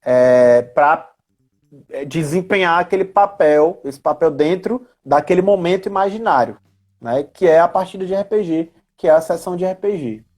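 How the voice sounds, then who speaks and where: A Brazilian guest expert talks in a relaxed live discussion. The pitch is medium, and the delivery is didactic and emphatic, with key words stretched out for weight. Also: moderate pace. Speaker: guest expert on role-playing games in education. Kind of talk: casual live-stream discussion, explanatory.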